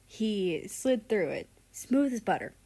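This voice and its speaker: A woman's voice: medium pitch, big pitch swings, takes it slowly, warm tone. A woman reading a fan fiction story aloud as scripted narration.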